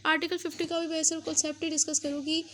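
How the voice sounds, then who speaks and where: A woman with a Pakistani accent teaches as a law lecturer. Her voice is high and stays on a narrow, even line. She explains step by step, circling back over points and dropping in English terms. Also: rapid-fire. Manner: repetitive, uses English legal terms mixed in. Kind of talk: explanatory lecture, recapping legal articles.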